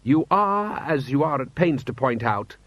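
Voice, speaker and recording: A man's voice: mid-range voice, animated, unhurried, no room sound, muffled recording, pitched above the performer's own voice. A man voicing a haughty, stiff military lord with pompous, condescending formal speech.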